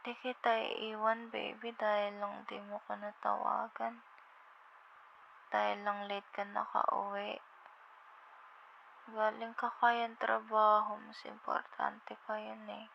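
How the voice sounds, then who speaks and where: A woman playing a sleepy, affectionate girlfriend in an intimate ASMR roleplay, with a Filipino accent and a drowsy, halting, tender murmur. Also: mid-range voice, completely flat delivery. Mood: soft, reassuring. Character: sleepy, affectionate young girlfriend on a late-night call. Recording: muffled, with clear background noise.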